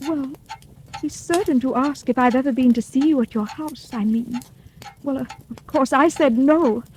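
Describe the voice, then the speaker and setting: A woman's voice: sits high, normal speed, darkish tone, natural voice, poor audio. An actress playing a scheming young widow who poisoned her husband, with 1940s radio acting. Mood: coaxing. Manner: coaxing, then scornful. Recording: faint hiss, old radio broadcast.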